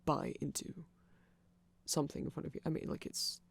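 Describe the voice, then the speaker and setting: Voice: a little fast, lightly acted. A performer playing a nerdy, polite vampire shopkeeper in an ASMR roleplay, hesitant and stammering, rambling apologetically in casual chat. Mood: awkward, hesitant.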